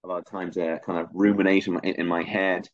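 Deep-voiced hesitant Dublin man. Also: little pitch movement, fast talker, no room sound. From Ireland. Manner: hesitant, many fillers and restarts. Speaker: guest filmmaker. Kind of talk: reflective interview answers. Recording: muffled lo-fi sound.

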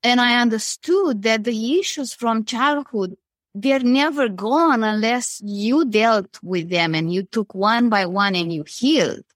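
A woman's voice: fairly high pitch, unhurried, no room sound, studio quality. A woman from Romania with a Romanian accent, speaking earnestly as a panel guest about her foundation. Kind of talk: panel discussion on a call.

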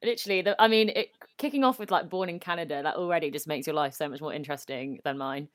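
A young woman co-hosting a casual interview, chatty and a little hesitant, restarting sentences and breaking into excited bursts. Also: deep voice, fast talker. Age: young adult. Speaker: podcast co-host.

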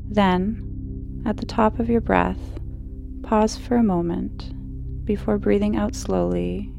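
A woman hosting a sleep podcast, reading calm scripted narration in a slow, measured, soothing manner. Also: mid-range voice, creaky, dull audio. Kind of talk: calm scripted bedtime narration, guided relaxation. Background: music.

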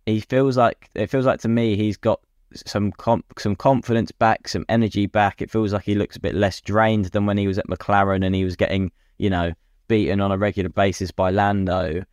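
A man's voice: on the low side, little pitch movement, moderate pace, darkish tone, clear, resonant tone, no room sound. A man co-hosting a podcast, chatting casually and matily about sport and offering his opinions. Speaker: podcast co-host.

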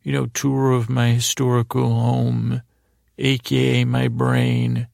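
A middle-aged man with a gravelly, creaky voice hosting a bedtime podcast, meandering and hesitant in a goofy way, often trailing off and restarting. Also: medium pitch, completely flat delivery, takes it slowly, a little mellow, no room sound, clean studio sound. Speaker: podcast host. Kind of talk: rambling bedtime sleep podcast monologue.

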